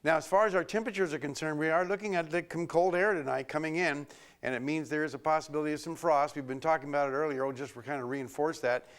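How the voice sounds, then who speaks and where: A man presenting a local TV weather forecast, a little hoarse from a cold, talking loosely with many fillers and restarts. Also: on the high side, steady tone, quick pace.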